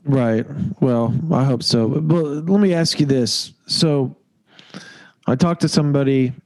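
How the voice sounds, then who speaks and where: A man with a cold gives his voice a stuffy, nasal edge as he rambles through sports talk, hedging as he goes. His tone is mellow and dark, and the recording is dry and close. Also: mid-range voice, unhurried, no room sound, low-quality recording. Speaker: podcast host. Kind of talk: casual sports chat.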